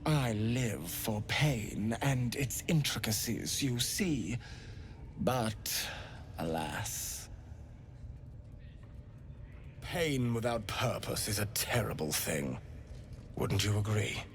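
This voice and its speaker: An actor playing a serene, fervently devout priest of a pain goddess in a video game, with theatrical, courtly tenderness and a breathy voice that slips into whispers. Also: male voice, lowish voice, animated, takes it slowly, a little hiss or hum. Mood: unctuous, courteous. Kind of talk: video game character acting.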